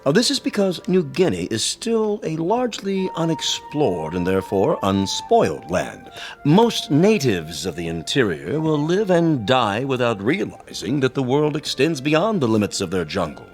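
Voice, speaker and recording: An actor in a radio drama playing a learned museum guide, lecturing in a formal, articulate way. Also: male voice, mid-range voice, very animated, moderate pace, soft background music, natural voice. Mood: informative.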